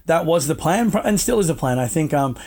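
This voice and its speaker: Brief: easygoing guy, a touch higher pitch, rambling casual guest talk. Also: expressive, a little mellow. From Australia. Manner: laid-back, rambling, drawn-out speech with many fillers. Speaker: guest, wrestler. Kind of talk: casual video-call chat.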